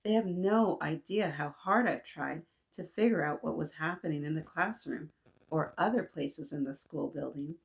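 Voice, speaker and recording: A woman's voice: low voice, moderate pace, gravelly. A woman voicing a young immigrant schoolgirl, earnest and determined, while reading a storybook aloud. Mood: upset.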